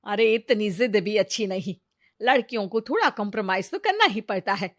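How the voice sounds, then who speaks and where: Actress as nagging traditional storybook mother, middle pitch, persuasive scolding, dry recording. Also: expressive, moderate pace, dry recording, lightly acted. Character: insistent, traditional middle-aged mother nagging about marriage.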